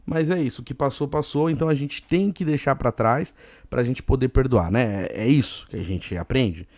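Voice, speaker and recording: A man with a Brazilian accent hosting a casual solo podcast, hoarse and chatty, giving listeners shout-outs. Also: medium pitch, moderate pace, dry recording. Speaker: podcast host.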